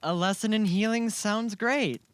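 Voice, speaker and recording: Casting: male actor for an inquisitive young townsman in an audio drama, slightly high voice, earnest and eager. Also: a little slow, no room sound. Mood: curious, eager. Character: eager, curious young man, earnest small-town shop worker. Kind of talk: amateur audio drama acting. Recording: faint background noise.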